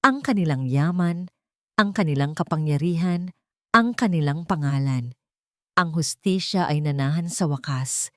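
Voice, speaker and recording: A woman with a Filipino accent narrates a scripted first-person story in a measured, dramatic and sentimental manner. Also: low voice, fairly bright tone, no room sound, studio quality.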